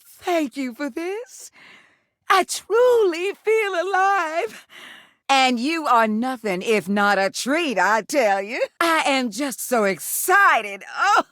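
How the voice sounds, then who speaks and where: A woman voicing a sultry, affectionate female household water heater in a video game, a gravelly voice and a folksy drawl full of pet names. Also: high-pitched, fairly bright tone, dry recording, clean studio sound, full character voice. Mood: overjoyed.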